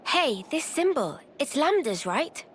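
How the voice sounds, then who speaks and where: High-voiced young female adventurer. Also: big pitch swings, measured pace, slight background noise. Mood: curious. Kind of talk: English game cutscene voice acting.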